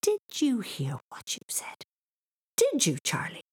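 Woman voicing an excitable, high-pitched little boy. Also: moderate pace, vocal fry. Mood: indignant, teasing. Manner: breathless exclamations, stammering and indignant. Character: frightened, excitable, cheeky little boy in pyjamas. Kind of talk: children's story reading.

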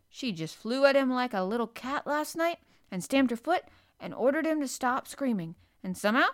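A woman's voice: mid-range voice, dry recording, studio quality, lightly acted. Woman reading as a gossipy, marvelling housekeeper. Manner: chatty, deferential, marvelling. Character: gossipy, astonished female housekeeper.

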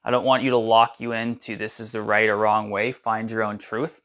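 A man leading a guided sound meditation, speaking in calm, unhurried instructions between drawn-out chanted syllables. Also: lowish voice. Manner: slow, soothing guidance with drawn-out chanted syllables.

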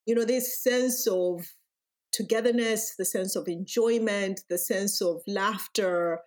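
A woman from Uganda with a Ugandan accent, speaking as a podcast guest in a reflective, measured way. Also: medium pitch, a little mellow. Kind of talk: podcast interview.

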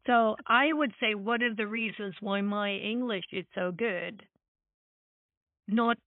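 A woman from Scandinavia speaks casually, as in an easy conversation. Her voice is of average pitch. The recording is dry, with the thin, limited sound of a phone connection. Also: slow, dry recording. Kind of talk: casual chat. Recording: thin phone-like call audio.

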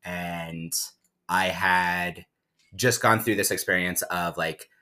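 A man in his thirties from New Jersey, co-hosting a podcast and telling stories in a relaxed casual way. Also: low voice, slow. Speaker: podcast co-host. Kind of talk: casual chat.

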